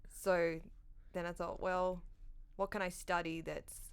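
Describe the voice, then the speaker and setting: A woman's voice: lowish voice, flat delivery, slow pace, clean tone. A woman in her thirties from Sydney with an Australian accent, a comedian guest talking casually and self-deprecatingly into a studio stand mic. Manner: self-deprecating, laughs at own jokes. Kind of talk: casual interview chat. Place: dark studio set, seated in armchair. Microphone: studio condenser mic on stand.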